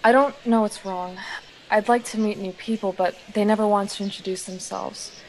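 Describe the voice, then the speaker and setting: A woman's voice: medium pitch, steady tone, moderate pace, a little mellow, slight background noise, close to an everyday voice, low-quality recording. Woman playing a reserved, self-conscious teenage girl. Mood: downcast. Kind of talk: audio drama acting.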